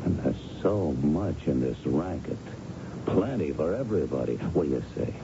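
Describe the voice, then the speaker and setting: An actor in a 1970s radio drama playing a bullying racketeer, slangy, needling and coaxing. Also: male voice, animated, normal speed, creaky, music in the background, full character voice. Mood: pushy, persuasive. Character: smooth, bullying racketeer who coaxes and pressures. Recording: dull lo-fi noisy radio broadcast with rumble.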